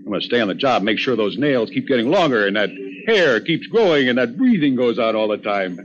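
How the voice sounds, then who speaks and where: Actor as excitable doting young father, muffled radio. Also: mid-range voice, brisk, close to an everyday voice. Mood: fascinated, fussy. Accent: American. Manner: fussy, repetitive, chattering. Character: excitable, doting, jokey new father and husband. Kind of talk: 1950s radio drama acting. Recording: muffled, noisy 1950s radio recording.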